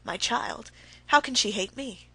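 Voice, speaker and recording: A woman voicing a guilt-stricken, easygoing husband, soft and muttering to himself. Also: a little high, measured pace, husky, no echo, exaggerated voice, low-quality recording. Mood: dismayed.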